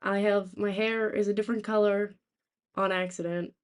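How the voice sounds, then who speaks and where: A young woman with a raspy, sore-throated voice, chatting casually to live stream viewers in a halting, rambling way. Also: medium pitch, flat delivery. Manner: halting, rambling, thinking aloud. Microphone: laptop, without usual microphone.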